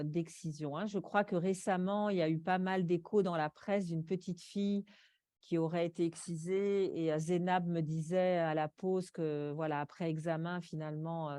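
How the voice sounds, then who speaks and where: Low, mellow woman presenting. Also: no room sound, studio quality. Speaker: presenter from an advocacy organisation. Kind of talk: spoken conference presentation. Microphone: microphone.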